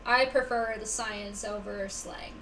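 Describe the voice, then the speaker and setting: A woman's voice: mid-range voice, fairly even intonation, measured pace. A woman chatting casually as she hosts a live game stream. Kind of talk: casual livestream chat. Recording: distant mic, faint background noise.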